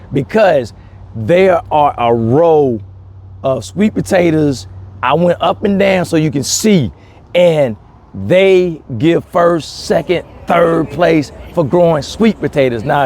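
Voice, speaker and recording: A man talking casually and excitedly to viewers as a gardening host, emphatic and repeating key words, recorded outdoors. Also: a little high, a little hiss or hum. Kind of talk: casual, enthusiastic talk to camera.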